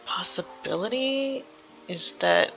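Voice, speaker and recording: A woman giving casual, analytical game reaction commentary, hesitant and thinking out loud. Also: on the low side, lively intonation, slow, gravelly, lo-fi sound, soft background music. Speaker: reaction video host.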